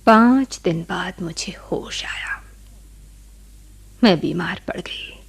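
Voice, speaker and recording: Sad widow in a radio play, husky breathy voice, kinda slow, mellow, telling her story. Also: fairly low pitch, full character voice. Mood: sorrowful. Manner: slow, sorrowful, breathy delivery. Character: grieving widowed woman recounting her tragic past. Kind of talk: dramatic radio play narration.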